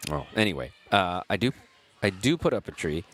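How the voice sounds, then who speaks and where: Low-voiced man chatting as pickleball commentator. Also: very animated, fairly quick, fairly bright tone, a little hiss or hum. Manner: chatty, rambling, chuckling mid-sentence. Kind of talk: casual live sports commentary. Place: indoor pickleball gym.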